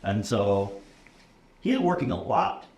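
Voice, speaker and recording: Low-voiced American man, casual and roomy. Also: very animated, slow pace, darkish tone. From USA. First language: English. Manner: casual, many ums and uhs, checks in with "okay?". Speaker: computer science instructor. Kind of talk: relaxed conversational interview. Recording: roomy echo, faint room noise.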